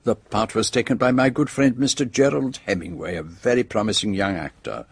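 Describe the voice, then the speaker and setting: An actor playing a shrewd, self-assured detective in a radio drama, with a French-speaking accent and precise, theatrical phrasing. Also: male voice, lowish voice, brisk, creaky, slightly rough, no room sound. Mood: self-satisfied.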